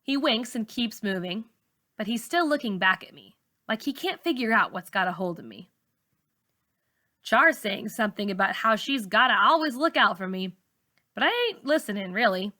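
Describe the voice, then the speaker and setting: Bright-voiced woman reading a story aloud. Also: on the high side, expressive, moderate pace. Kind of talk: expressive storybook narration.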